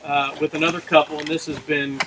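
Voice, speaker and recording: A middle-aged man telling an informal comic story to a group, acting out the dialogue in an animated way. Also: a little high, a little fast. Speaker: campfire storyteller. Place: outdoors among a gathered crowd. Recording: noisy outdoor recording with audience chatter. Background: audience sounds, outdoor ambience.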